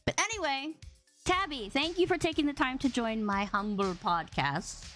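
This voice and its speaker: A woman hosting a casual live-streamed podcast, bubbly and animated with a big, boomy voice. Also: normal speed. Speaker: podcast host. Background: faint background music.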